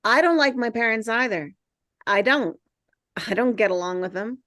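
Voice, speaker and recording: A woman's voice: fairly high pitch, animated, normal speed, pushed and bright, dry recording, clean studio sound. A woman reading an earnest, awkward, nerdy teenage boy who plays peacemaker at a table read of a film script.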